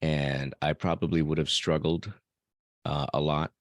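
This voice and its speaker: A man's voice: rumbling low, level tone, unhurried, no room sound, clean studio sound. A man from El Salvador, a podcast host being interviewed, answering in a reflective way with some fillers. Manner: reflective, thinks aloud with fillers. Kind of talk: casual interview conversation.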